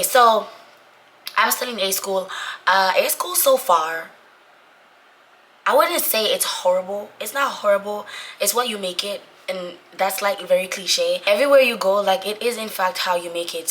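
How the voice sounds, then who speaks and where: A young woman talks casually to camera in a slightly low, forceful voice, raspy and tired out by sickness. She is informal and repeats her phrases to drive a point home. Faint room ambience sits behind her. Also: a little hiss or hum. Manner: informal, repetitive for emphasis. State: sick, voice going away. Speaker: vlogger, Navy corpsman trainee. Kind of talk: casual chatty vlog talk to viewers.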